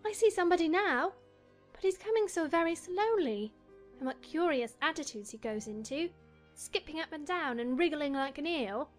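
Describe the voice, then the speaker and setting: A woman acts out a young storybook girl, polite and inquisitive. Her voice is high and matter-of-fact, with light music behind it. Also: animated, normal speed. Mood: curious, polite. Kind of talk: dramatized audiobook acting.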